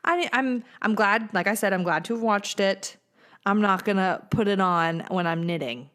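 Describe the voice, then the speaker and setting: A woman's voice: medium pitch, moderate pace, a bit bright. An American woman co-hosting a podcast, chatting casually in an informal, slangy way. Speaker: podcast co-host. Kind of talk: casual pop culture chat.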